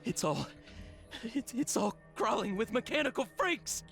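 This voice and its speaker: A half-crazed male herb gatherer in an anime-style game. He stammers in paranoid panic, his pitch swinging wildly. Faint music sits behind the voice. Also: big pitch swings, a little slow, creaky, a bit bright, exaggerated voice.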